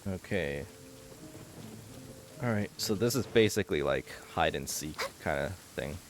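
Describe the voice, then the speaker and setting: A man's voice: deep voice, lively intonation, unhurried, noisy background. A man talking casually as he streams a video game, commenting live on what he is doing.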